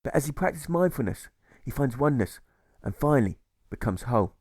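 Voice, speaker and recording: A man narrates a rhyming audiobook in a measured, rhythmic way. His pitch sits in the middle for a male voice, and the recording is dry and free of room sound. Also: expressive, darkish tone, slightly rough, dry recording. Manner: measured, rhythmic verse delivery. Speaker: author reading own audiobook.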